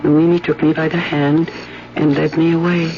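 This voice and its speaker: A woman's voice: low-pitched, little pitch movement, normal speed. An actress playing a troubled, fearful yet fascinated woman in an intense, dramatic 1970s radio play. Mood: haunted, confessional. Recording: dull 1970s radio, loud music underneath.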